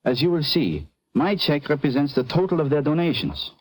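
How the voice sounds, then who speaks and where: A formal, husky, animated immigrant doctor. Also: male voice, a little high, normal speed, dry recording. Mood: proud, precise. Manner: formal, precise, indignant. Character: proud, defensive immigrant European doctor, formal and earnest. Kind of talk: vintage radio drama acting.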